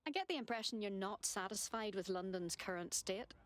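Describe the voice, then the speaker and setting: A woman's voice: mid-range voice, a little fast, fairly bright tone, close to an everyday voice. A woman playing a determined, direct resistance operative in a video game, businesslike and terse. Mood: resolute, focused. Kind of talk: video game cutscene dialogue.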